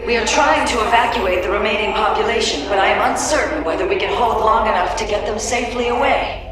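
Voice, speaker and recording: Distant, echoing alien matriarch. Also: female voice, on the high side, fast. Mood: grave. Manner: solemn, formal. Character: grave, proud female alien matriarch leading her people. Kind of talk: video game dramatic acting. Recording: very echoey, distant transmission with music behind.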